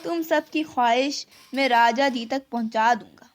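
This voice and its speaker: Woman playing a kindly old grandfather, high-voiced. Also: normal speed, natural voice. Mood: amused. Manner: good-humoured, knowing.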